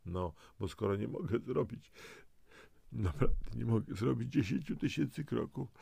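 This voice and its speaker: A man co-hosting a health programme, explaining conversationally to the listener with deliberate stress on key words. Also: medium pitch, expressive, vocal fry, warm tone, slightly rough, no echo. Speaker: co-host of a health audio programme.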